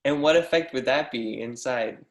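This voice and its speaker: A man's voice: medium pitch, normal speed, natural voice. Man acting a young mathematician student, eager, pleading, wide-eyed, insistent, stage drama reading, roomy echo. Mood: curious. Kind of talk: readers theatre stage reading. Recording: roomy, echoing sound.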